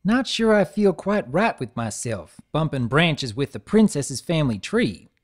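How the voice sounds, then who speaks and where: Man putting on a Southern-drawl farm pony mare, folksy, earnest, higher pitch, lively lilt. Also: unhurried. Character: honest hardworking country farm pony mare.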